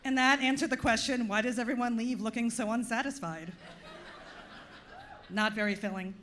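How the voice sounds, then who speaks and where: A woman with a Long Island New York accent works a comedy club stage, landing dry, self-deprecating punchlines. Her voice sits a little higher than most women's. A faint room hum runs under her mic. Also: normal speed, a bit bright. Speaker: stand-up comedian. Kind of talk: stand-up comedy set.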